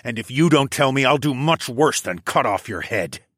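A man's voice: mid-range voice, expressive, normal speed, fairly bright tone, no room sound, studio quality, lightly acted. A man playing a commanding warrior who interrogates captives, snarling and hissing threats through gritted teeth in an audiobook. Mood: cold threat.

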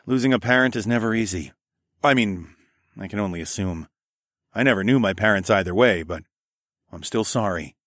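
A man's voice: lowish voice, moderate pace, pauses often, fairly bright tone, no echo, clean studio sound, natural voice. Man playing an easygoing, wry adventurer husband. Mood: sympathetic. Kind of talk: casual audiobook character dialogue.

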